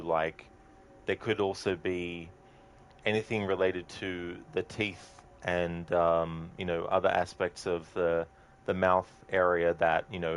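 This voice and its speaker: A man chatting casually and haltingly as he live-streams a video game, musing aloud to viewers. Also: deep voice. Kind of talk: casual chat while gaming.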